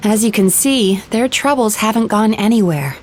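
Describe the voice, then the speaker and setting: A woman voicing a composed, gentle young dream therapist who explains things calmly in an anime-style video game. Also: mid-range voice, moderate pace, background noise, natural voice. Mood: serious, explanatory.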